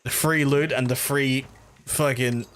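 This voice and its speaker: A man with a softened Belfast accent, chatting casually and rambling as he streams a game, swearing freely. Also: mid-range voice, fairly even intonation, unhurried. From Belfast, Northern Ireland. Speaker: live streamer. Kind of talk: casual gameplay commentary and chat with viewers.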